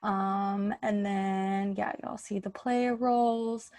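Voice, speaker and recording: A woman's voice: medium pitch, fairly even intonation, slow pace, a little mellow. A woman talking casually as a podcast host, organizing her cast in a breezy, informal way. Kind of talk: casual chat.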